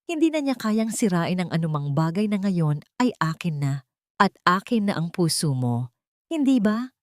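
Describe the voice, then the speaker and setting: A woman's voice: lowish voice, sing-song, normal speed, no room sound. Woman doing a devoted husband, earnest and sure of himself, romance audio drama, dry sound. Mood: assured, possessive. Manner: earnest, assured. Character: devoted, protective billionaire husband.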